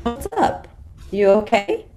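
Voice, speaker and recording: A woman plays a blunt, protective fight trainer, speaking slowly with lively swings in pitch. The dialogue is scripted, from a table read. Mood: worried. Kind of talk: screenplay table read acting.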